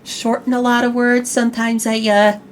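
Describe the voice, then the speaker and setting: A woman's voice: a little high, level tone, moderate pace, a little hiss or hum. A woman host with a slight Chicago accent, chatting animatedly on an online talk show, often restarting her words. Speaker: podcast host. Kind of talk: casual online chat show.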